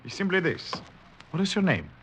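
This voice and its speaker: An actor playing a powerful, probing Chinese man of influence, formal and smoothly polite, in 1940s radio style. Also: on the high side, wildly swooping pitch, brisk. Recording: noisy old radio drama recording.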